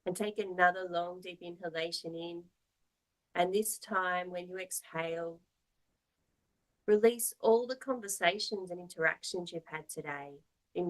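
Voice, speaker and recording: A woman teaching yoga, guiding a meditation in a soothing, measured, gently instructive manner. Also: fairly low pitch, fairly even intonation, rough recording.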